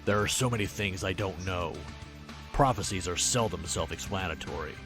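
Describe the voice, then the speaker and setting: A man's voice: low voice, natural voice. Pompous villainous politician played by a man, music behind. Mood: fanatical. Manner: theatrical, pompous, measured. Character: fanatical villainous politician, suave, menacing, preaching doom. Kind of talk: visual novel voice acting.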